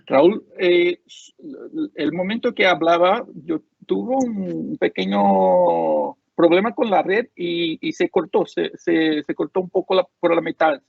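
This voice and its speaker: Gravelly, husky man lecturing over a call. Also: high-pitched, no room sound. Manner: halting, many fillers and restarts. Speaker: conference speaker. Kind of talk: academic talk with Q&A. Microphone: video call. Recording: dull lo-fi call audio.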